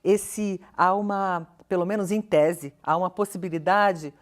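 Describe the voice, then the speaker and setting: A woman with a Brazilian accent and a notably low voice speaks as an academic being interviewed. She thinks aloud in an articulate, questioning manner and stresses her key words as she explains. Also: measured pace. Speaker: interview guest, professor and researcher. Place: television studio interview.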